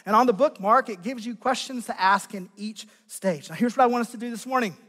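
Raspy, high-pitched American male preacher. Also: brisk, fairly bright tone. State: strained voice after losing it. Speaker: pastor preaching to a congregation. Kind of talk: sermon, conversational teaching. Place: large church sanctuary. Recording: long hall reverb from sound system.

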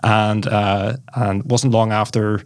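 A man in his forties speaks with a Belfast accent, his voice sitting a little low. He tells his story in an easy, chatty way, often turning to the host by name. The recording is close and dry, with no room sound. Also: level tone, slow, dry recording. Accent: Northern Irish, Belfast. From Northern Ireland. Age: 40s. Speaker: guest, former lawyer. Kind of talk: conversational life story.

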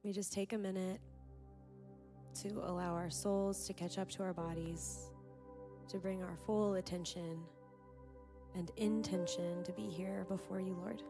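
A woman leading a public prayer as a worship leader, unhurried and reverent. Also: on the low side, steady tone. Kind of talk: earnest spoken public prayer. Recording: voice over prominent background music.

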